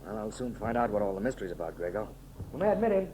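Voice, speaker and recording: An actor in a 1930s radio serial playing a bold, commanding hero emperor with a declamatory, decisive delivery. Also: male voice, on the low side, sing-song, racing, dark tone. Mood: puzzled, concerned. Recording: noisy old 1930s radio recording.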